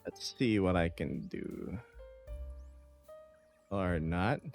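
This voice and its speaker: A man's voice: sits low, expressive, extremely slow, creaky, soft background music. A man in his thirties streaming from home, chatting casually and reading chat messages aloud with frequent laughs.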